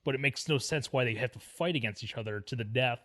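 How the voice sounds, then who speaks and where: A man's voice: medium pitch, quick pace, fairly bright tone. A man co-hosting a film podcast, casually discussing a movie in conversation.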